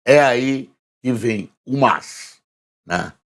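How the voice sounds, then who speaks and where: A hoarse man with a Brazilian accent presenting a casual spoken review of newspaper front pages, slowly reading headlines aloud with emphasis. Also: mid-range voice, lively intonation. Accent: Brazilian Portuguese. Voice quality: gravelly, husky.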